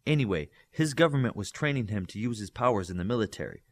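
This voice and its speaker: A man's voice: lowish voice, lively intonation, fast. A man voicing a teasing, knowing young telepath girl in an audiobook story. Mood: matter-of-fact. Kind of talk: audiobook character dialogue.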